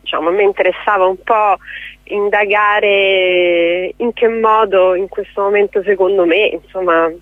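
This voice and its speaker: A woman's voice: medium pitch, fairly even intonation, unhurried, no echo. A woman in her forties chatting casually as an interview guest, self-deprecating and joking, with a digressive way of talking. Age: 40s. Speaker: theatre playwright, director and actress, interview guest. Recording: band-limited, telephone-like radio sound.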